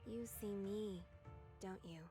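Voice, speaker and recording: Woman doing cold aloof young game villain lady, resigned, really slow measured talk, bit of music under it. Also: mid-range voice, fairly even intonation, mellow, natural voice. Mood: resigned, cutting. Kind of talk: video game voice acting. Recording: faint background music.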